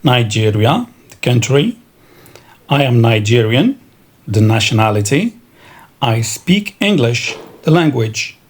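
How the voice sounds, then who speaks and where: A man teaching English vocabulary, reading country and nationality words in a slow, deliberate drill. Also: medium pitch, sing-song. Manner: slow, deliberate, spells out each word. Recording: clear background noise.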